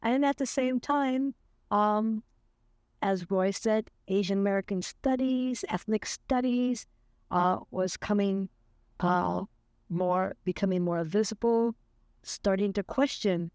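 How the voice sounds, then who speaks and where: Woman, seventies, very slow deliberate interview talk with fillers, dry close sound. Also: medium pitch, a little mellow, dry recording. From California. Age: 70s. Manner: slow, earnest, with fillers and light laughs. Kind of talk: reflective interview.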